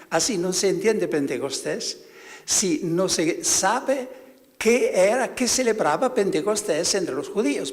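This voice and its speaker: Male priest, slightly high voice, halting delivery, searches for words, long hall reverb. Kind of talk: spiritual talk to fellow priests.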